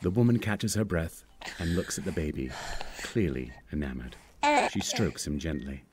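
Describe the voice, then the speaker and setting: A man's voice: very deep voice, expressive, moderate pace, noisy background. A man narrating a screenplay, reading out scripted scene descriptions.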